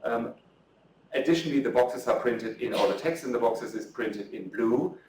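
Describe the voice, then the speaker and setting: A middle-aged man with a German accent, a native German speaker, presenting his book to an audience. He talks in a halting way, with many ums and restarts, and has a dry, self-mocking humour. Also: medium pitch, a little mellow, recorded from a distance, slight background noise. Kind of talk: lecture talk, then interview answers. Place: lecture room. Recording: roomy echo, off-mic.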